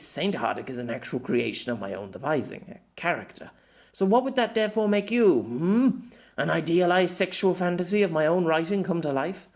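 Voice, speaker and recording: A man's voice: fairly high pitch, a little mellow, voice pushed up. A man voicing a grumpy, condescending novelist with a pompous, exasperated, sardonic manner.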